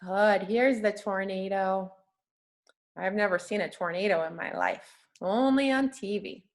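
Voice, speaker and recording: Slow-paced woman reading aloud to young children. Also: medium pitch. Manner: simple, encouraging, patient teacher talk. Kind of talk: interactive children's read-aloud with questions and praise.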